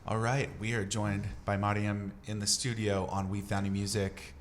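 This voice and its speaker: A man's voice: on the low side, level tone, moderate pace, slight background noise. A man hosting a casual radio interview in a studio, speaking in an effusive, encouraging way.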